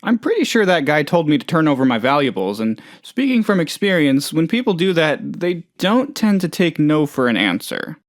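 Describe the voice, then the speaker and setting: A man's voice: on the high side, big pitch swings, moderate pace, hardly any pauses, no echo, clean studio sound. A man playing a hapless, trusting, lonely oddball in a video game, talking in a rambling, hesitant, good-natured way. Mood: casual musing. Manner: rambling, hesitant, naive, chatty. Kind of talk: video game character dialogue.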